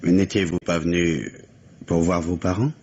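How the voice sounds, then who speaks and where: An actor playing a grave, bitter widower in a French mystery radio play, with measured, dramatic delivery. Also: male voice, low voice, level tone, a little mellow, breathy edge. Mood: courteous, preoccupied. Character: grieving, bitter New York widower hunting his wife's killer. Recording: dull, hissy vintage radio recording.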